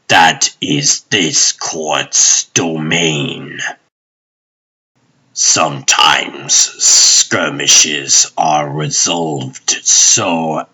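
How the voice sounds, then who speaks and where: A man voicing a grave, solemn guardian in a comic dub, husky, formal and measured. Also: rumbling low, bright, forceful tone, full character voice. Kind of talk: comic dub character acting.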